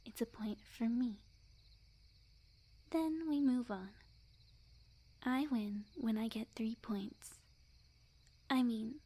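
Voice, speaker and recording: A woman acts out a lovestruck witch in an ASMR roleplay, sweet on the surface and possessive underneath. Her voice is soft, very breathy and often whispered, with a mellow tone. She speaks slowly, coy and teasing, fully sure of herself. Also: on the high side, full character voice. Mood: coy, confident. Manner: slow, coy, teasing, overconfident. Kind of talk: ASMR roleplay, scripted, addressed to the listener.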